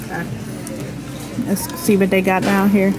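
A woman's voice: medium pitch, monotone, a little slow, music in the background, crowd noise, rough recording. A woman vlogging a shopping trip with her daughter in a large store, casual and easygoing, often laughing, recorded on a handheld phone. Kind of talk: casual chat while filming. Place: large indoor retail store. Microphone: handheld phone camera.

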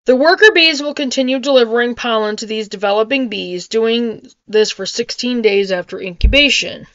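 A woman's voice: on the high side, normal speed, fairly bright tone, no echo, lo-fi sound. A middle-aged woman reading an article aloud with casual asides, sometimes stumbling over and repeating hard words, recorded on a webcam in a home kitchen. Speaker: homesteading vlogger. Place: small home kitchen with wood-panel ceiling, indoors.

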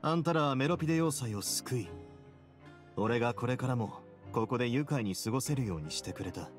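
A man's voice: mid-range voice, lively intonation, close to an everyday voice. Man acting as cool, composed prison boss. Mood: composed, sincere. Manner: measured, cool, casually self-assured. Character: composed, confident male prison boss with a dry courtesy. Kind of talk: Japanese anime game voice acting. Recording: studio voice over game music.

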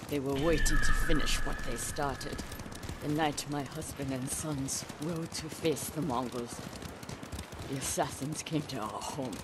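Stern, grieving older samurai widow. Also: female voice, very deep voice, measured pace, noisy background. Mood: stern, bitter. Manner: grave, clipped, resolute. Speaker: game character. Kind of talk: dramatic video game acting. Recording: music behind the voice.

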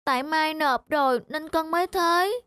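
A woman voicing an anxious, eager young schoolboy in an animated cartoon, with an earnest, childlike delivery. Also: sits high, moderate pace, no room sound.

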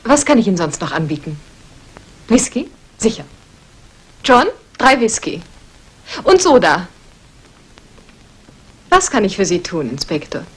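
A woman's voice: medium pitch, very animated, unhurried, long pauses, breathy edge. An actress playing a poised aristocratic lady, composed and cultivated, in a 1960s German radio drama. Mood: gracious. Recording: faintly noisy old radio recording.

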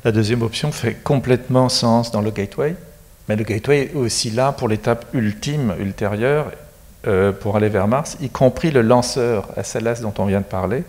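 A man's voice: mid-range voice, moderate pace, darkish tone, long reverb tail. A middle-aged man speaking as an expert guest in a studio interview, explaining technical matters in a plain, matter-of-fact way into a handheld mic. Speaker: space agency expert guest. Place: studio set with screens.

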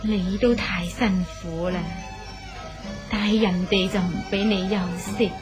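A woman's voice: medium pitch, fairly even intonation, a little slow, music in the background, lightly acted. A woman playing a frail, devoted concubine. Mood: tender. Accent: Cantonese.

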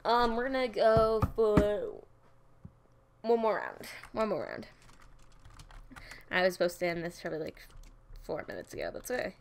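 A woman's voice: mid-range voice, sing-song, normal speed, creaky, long pauses, slight background noise. A young woman chatting casually while playing a game, giggly and rambling, with keyboard typing nearby. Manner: chatty, giggly, jumps into silly voices and snatches of song. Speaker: gaming streamer. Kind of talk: casual gameplay commentary.

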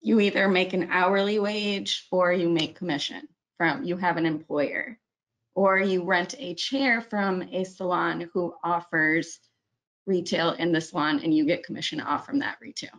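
A woman's voice: on the low side, measured pace, a bit bright, rough recording. Chatty woman presenting a webinar. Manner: chatty, self-correcting, many ums. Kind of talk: screen-share webinar walkthrough.

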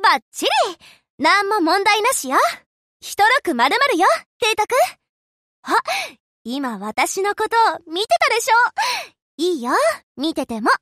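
A young anime warship girl for a game, devoted and cheerful. Her voice is extremely high and bright, with a perky, girlish delivery that swings widely in pitch. She speaks with sweet familiarity, like an earnest secretary. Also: very animated, a little slow, pauses often, dry recording, clean studio sound, full character voice. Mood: friendly, chatty. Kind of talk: Japanese game voice acting, short scripted lines.

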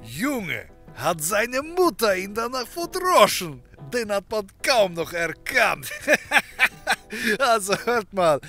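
Hearty loud barkeep, slow and swinging all over, comedy radio play, jovial. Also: male voice, sits high, exaggerated voice. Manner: expansive, hearty. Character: jovial, boisterous male bar owner, crude storyteller. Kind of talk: comedy audio drama acting.

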